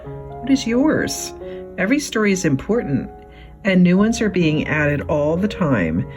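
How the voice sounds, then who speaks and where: A woman reading a picture book aloud as a children's librarian, phrasing it expressively and deliberately for young listeners. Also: lowish voice, very animated, darkish tone, faint music behind.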